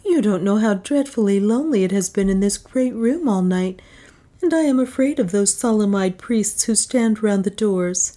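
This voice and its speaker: A young woman playing a goddess, as a female narrator puts her on, commanding in tone and raised higher than the narrator's low voice. Her speech swings wide between stern orders and fearful complaint. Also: animated, normal speed, mellow, lightly acted. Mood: frightened.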